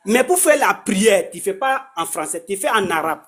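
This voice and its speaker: A man explaining his faith in a casual conversation, halting and repeating himself often. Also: high voice, expressive, a bit bright, breathy edge. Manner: halting, repeats words, many restarts.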